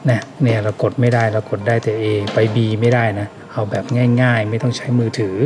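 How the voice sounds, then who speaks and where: A man giving a casual hands-on tutorial, instructing informally step by step and repeating key points. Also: mid-range voice. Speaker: product demonstrator giving a tutorial. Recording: music playing behind the voice. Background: clearly audible background music.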